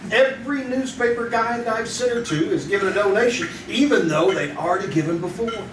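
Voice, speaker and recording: An actor playing a brash, wry newspaper crime photographer in an old-time radio drama staged live. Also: male voice, high voice, lively intonation, brisk, background noise. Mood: exasperated. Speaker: amateur radio troupe actor. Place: small theater, live on stage. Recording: roomy theater stage, distant microphone.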